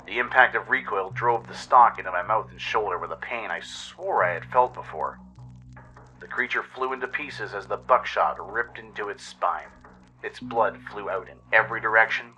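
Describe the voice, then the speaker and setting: A man's voice: lowish voice, bright, forceful tone, rough recording. A man narrating a scripted first-person adventure story dramatically, in the manner of a radio play host.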